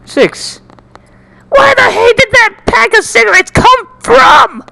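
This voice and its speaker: A man doing an amateur dramatic reading of a first-person story in an animated, jokey way, now and then stumbling and repeating words. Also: extremely high pitch, takes it slowly, vocal fry, a little hiss or hum. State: coughing, slightly sick. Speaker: amateur fan fiction reader.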